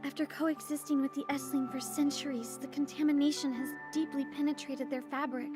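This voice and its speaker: A woman voicing a serious, dutiful townswoman in a video game, explaining things gravely and in measured phrases. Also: high voice, little pitch movement, music in the background, lightly acted. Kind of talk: video game dialogue acting.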